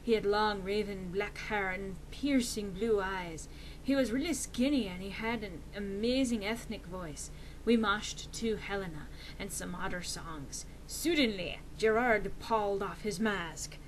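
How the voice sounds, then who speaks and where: A woman's voice: mid-range voice, measured pace, slight background noise, low-quality recording. A woman reading fan fiction aloud as a dramatic, mock-serious narration with theatrical emphasis.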